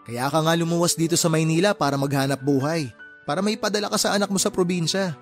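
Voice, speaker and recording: An actor playing a firm, fair-minded uncle and boss in a radio drama, lecturing in a stern, reasoning way. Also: male voice, on the high side, quick pace, close to an everyday voice. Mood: admonishing. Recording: music under the voice.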